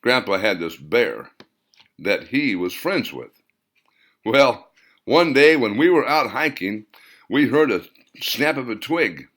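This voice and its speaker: Man voicing an earnest, nostalgic storyteller with lively swings. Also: mid-range voice, takes it slowly, pauses often, exaggerated voice. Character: earnest male storyteller recalling boyhood summers with Grandpa. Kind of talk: audiobook character monologue.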